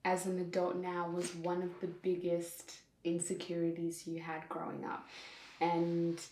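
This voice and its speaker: A young woman hosting an interview, asking questions in a slow, gentle way. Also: on the low side, completely flat delivery. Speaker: host. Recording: echoey room.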